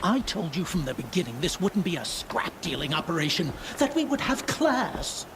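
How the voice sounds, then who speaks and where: A man's voice: fairly high pitch, big pitch swings, normal speed, background noise. An actor playing a snooty, fussy male merchant with refined pretensions, pompous and disdainful, in a video game. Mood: indignant.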